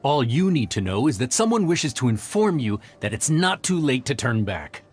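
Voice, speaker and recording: Male actor as cocky mercenary, menacing negotiator, video game villain, animated mid-range voice, light background music. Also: mid-range voice, normal speed, close to an everyday voice. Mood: smug, menacing. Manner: taunting, smug. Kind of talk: scripted video game dialogue.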